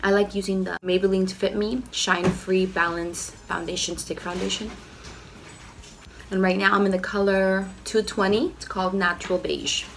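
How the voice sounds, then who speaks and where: Nasal, rough-voiced woman with a cold. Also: medium pitch, a little hiss or hum. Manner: chatty, lots of "like" and "you know". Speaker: beauty vlogger. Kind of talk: casual makeup tutorial. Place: home.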